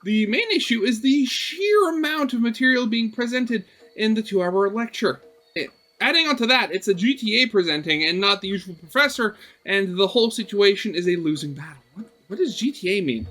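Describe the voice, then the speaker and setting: Young guy doing a visual novel lets play, bright high voice, reads it out with offhand comments. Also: expressive, brisk, faint music behind. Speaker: let's play host. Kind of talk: reading a visual novel aloud with casual commentary.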